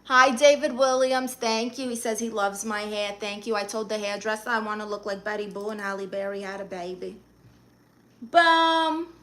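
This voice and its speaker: A woman's voice: mid-range voice, fairly bright tone, a little hiss or hum. A woman from Brooklyn, chatting casually on a live stream, greeting viewers and firing back sassy, playful comebacks to their comments. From Brooklyn, New York. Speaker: livestreamer.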